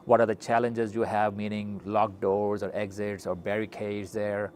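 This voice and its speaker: A middle-aged man with a Pakistani accent, interviewing and telling stories in a conversational, animated way. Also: fairly low pitch, normal speed. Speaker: interviewer, physician hosting a talk. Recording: noticeable steady background noise.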